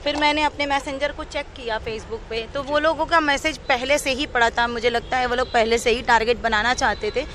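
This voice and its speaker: A woman with a fairly high voice gives a detailed, earnest account in an interview. She speaks unscripted, outdoors in a city, with faint background noise. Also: fairly even intonation, a little fast, few pauses, fairly bright tone. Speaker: interviewee telling her own account.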